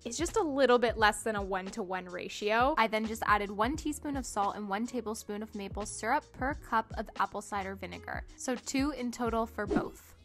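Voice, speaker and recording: A woman's voice: a little high, fairly quick, few pauses, a bit bright, soft background music. A young woman casually narrating her home cooking in a chatty, self-deprecating, upbeat way. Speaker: cooking vlogger. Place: home kitchen.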